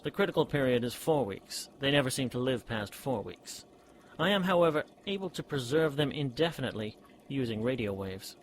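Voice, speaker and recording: A man's voice: mid-range voice, lively intonation, brisk, slight background noise, lightly acted. An actor playing an eager, eccentric scientist in a period radio drama, precise and earnest as he lectures. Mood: enthusiastic. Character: eager eccentric male scientist, brother of a detective.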